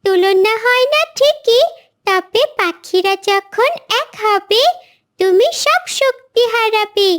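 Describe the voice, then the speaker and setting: Tiny, very high-voiced girl bird. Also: animated, fairly bright tone, full character voice. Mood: defiant. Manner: earnest, deliberate. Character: brave little orphaned girl bird, earnest and defiant. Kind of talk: cartoon character acting.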